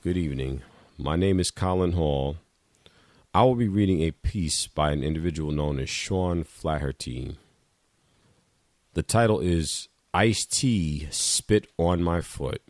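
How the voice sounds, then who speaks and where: Man, low-pitched, dry close sound, reading a personal short story aloud. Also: unhurried, darkish tone, dry recording. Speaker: reader of a short piece at a memorial reading.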